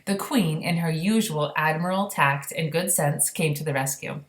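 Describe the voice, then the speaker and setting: A woman's voice: sits low, moderate pace. A woman reading a children's storybook aloud, with casual, plain-spoken explanations along the way. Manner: explains plainly, informal and conversational. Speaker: reader of a children's book. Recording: roomy, echoey sound.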